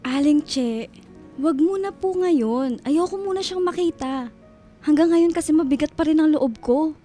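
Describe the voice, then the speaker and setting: High-voiced, resentful Filipino teenage daughter. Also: female voice, measured pace, warm tone, faint music behind. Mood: weary, resentful. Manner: accusing, bitter, emotional. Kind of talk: Filipino radio drama acting.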